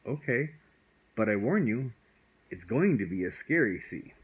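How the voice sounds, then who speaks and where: A man's voice: medium pitch, very animated, a little slow, poor audio. A man voicing a timid but eager little boy in a bedtime storybook. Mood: nervous, worried.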